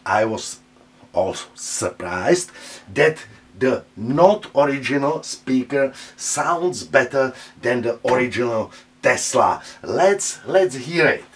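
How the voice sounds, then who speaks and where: A man with a Czech accent hosting his own channel, talking casually in halting English as he shows vintage speakers on a table, often stopping with "uh" to find his words. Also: medium pitch, big pitch swings. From Czech Republic. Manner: halting, searching for English words, many "uh" fillers. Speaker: channel host. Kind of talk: casual hands-on speaker demo.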